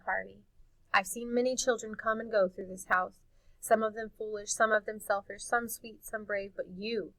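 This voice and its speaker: A woman's voice: mid-range voice, fairly bright tone, dry recording, natural voice, low-quality recording. A woman voicing an anxious, kindly older housekeeper in a storybook, giving grave, pleading warnings.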